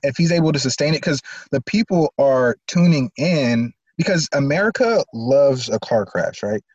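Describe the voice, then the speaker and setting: A man's voice: medium pitch, lively intonation, normal speed, darkish tone, breathy edge, no echo. A man hosting a casual interview in a slangy, conversational way, on a slightly lo-fi recording. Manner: slangy, often checks "you know what I mean". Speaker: podcast host.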